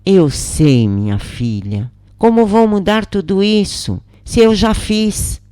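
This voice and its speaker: A woman's voice: medium pitch, expressive, slow pace, dark tone, no room sound, clean studio sound, pitched above the performer's own voice. A woman with a Brazilian accent voicing a regretful, unsure adoptive mother who confesses and asks questions in a story.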